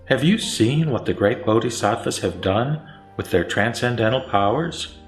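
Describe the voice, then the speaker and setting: A man playing a calm, authoritative Buddha in a scripture audio drama, measured and solemn. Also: medium pitch, roomy sound, close to an everyday voice. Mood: calm, instructive. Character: calm, authoritative Buddha teaching his disciples. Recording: background music under the voice.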